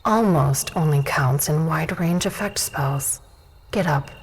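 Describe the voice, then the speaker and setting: Low, creaky, teasing elf warrior woman. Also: measured pace, heavily acted, low-quality recording. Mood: cool approval. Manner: cool, taunting, commanding. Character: tall, confident, teasing female dusk elf warrior.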